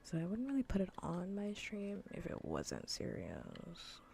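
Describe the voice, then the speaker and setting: Casting: female ASMR gaming streamer, deep creaky voice, soft breathy half-whisper, dry and unhurried delivery on a close mic. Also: huge pitch swings, a little hiss or hum. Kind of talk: soft-spoken ASMR gaming livestream chat.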